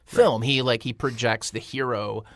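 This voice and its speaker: A man on a casual film discussion panel, explaining things in a wry, halting manner. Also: mid-range voice, fairly even intonation, no room sound, studio quality. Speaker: podcast panelist. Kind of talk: casual panel chat about films.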